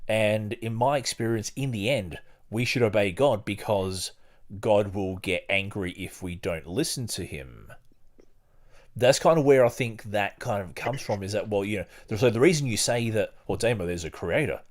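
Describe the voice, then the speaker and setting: A man with a fairly low voice hosts a podcast. He speaks in a casual, discursive way, feeling his way through phrases and sometimes reading aloud. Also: normal speed. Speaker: podcast host.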